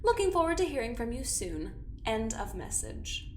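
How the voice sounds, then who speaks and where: A woman's voice: a little high, sing-song, normal speed. A woman playing a smug, condescending schemer who leaves a teasing message. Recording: echoey room with faint noise.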